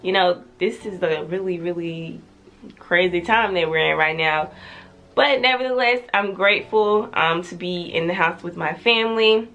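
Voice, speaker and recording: A woman's voice: lowish voice, moderate pace, fairly bright tone. A young woman hosting her own channel, talking casually with a stuffy nose and repeating words for emphasis. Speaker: channel host. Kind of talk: casual vlog chat.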